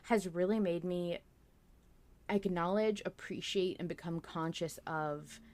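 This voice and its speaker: A woman's voice: on the low side, measured pace, darkish tone. A woman co-hosting a podcast in casual conversation. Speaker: podcast co-host. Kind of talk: casual chat.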